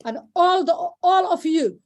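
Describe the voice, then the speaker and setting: A woman from Somalia with a Somali accent speaks at an online meeting over a video call, halting and repeating words, with many fillers. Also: very high-pitched, animated, creaky. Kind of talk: informal speech at an online meeting.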